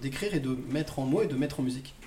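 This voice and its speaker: A man's voice: mid-range voice, quick pace, roomy sound, background noise. A man hosting a casual interview in his apartment, talking with many fillers and restarts. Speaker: host.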